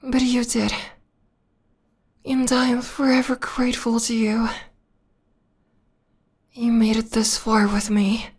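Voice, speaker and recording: A woman voicing a possessive, adoring vampire lover in an intimate ASMR roleplay, with a breathy whisper and a slow, tender, lingering delivery. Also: fairly high pitch, flat delivery, full character voice. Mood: devoted longing.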